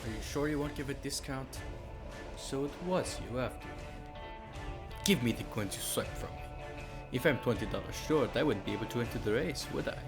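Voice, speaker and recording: A man's voice: mid-range voice, fairly quick, close to an everyday voice. A man playing a wary, coolly confrontational race entrant in a western adventure manga dub, speaking pointedly. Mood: cool, accusing. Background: music.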